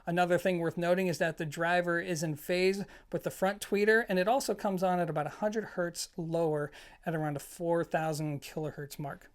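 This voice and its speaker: A product reviewer hosting an audio gear video. The voice sits at a middle pitch. The delivery is informal and explanatory, speaking straight to the viewers. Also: fairly even intonation, moderate pace. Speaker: product reviewer and show host.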